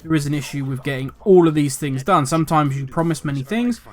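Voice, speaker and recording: Older male gaming host, slightly raised pitch, rambling and grumpy in tone. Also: fast talker, soft background music. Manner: talkative, rambling, self-correcting; cynical, grumbling. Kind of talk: casual commentary video.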